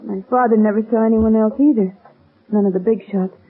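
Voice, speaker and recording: A woman's voice: fairly high pitch, steady tone, a little fast, close to an everyday voice. An American woman playing a brave, earnest young shopkeeper's daughter with blunt, suspicious delivery in old radio drama style. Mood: desperate, determined. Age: young adult. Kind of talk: 1930s-40s American radio drama acting. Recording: muffled, narrow-band vintage radio recording.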